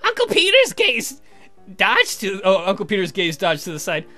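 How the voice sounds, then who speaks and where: A man hosts a let's play, reading a visual novel aloud with self-mocking asides. His delivery is lively and bright, over faint music. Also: sits high, moderate pace.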